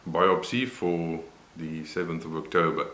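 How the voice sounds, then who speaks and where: Deep-voiced older Afrikaans-man. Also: little pitch movement, a bit bright, roomy sound. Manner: unhurried, reflective, many pauses and fillers. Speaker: vlogger. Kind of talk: personal health vlog.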